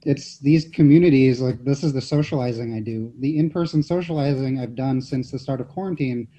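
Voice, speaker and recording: A man speaking casually as a panelist, halting and circling back with lots of "like". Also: mid-range voice, mellow, slight background noise. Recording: slightly dull lo-fi audio.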